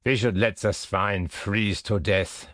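A man's voice: mid-range voice, very animated, normal speed, dry recording, studio quality, voice pushed up, natural voice. A man doing a keen, sardonic army corporal. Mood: contemptuous.

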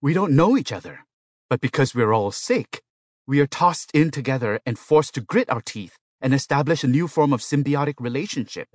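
A man's voice: medium pitch, normal speed, no echo, clean studio sound, pitched above the performer's own voice, lightly acted. A man voicing an anxious, indignant male hospital patient in an audiobook story, pleading and complaining. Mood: agitated protest.

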